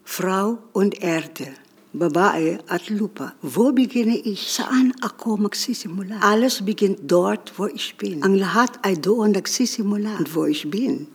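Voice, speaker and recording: Gravelly woman reading a meditative poem. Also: medium pitch, measured pace. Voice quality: gravelly, husky. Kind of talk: reading her own autobiographical poem and text.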